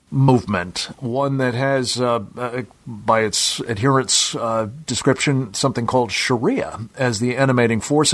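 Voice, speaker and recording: A man hosting a radio talk show, asking long, deliberate questions with pauses and hesitations. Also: medium pitch.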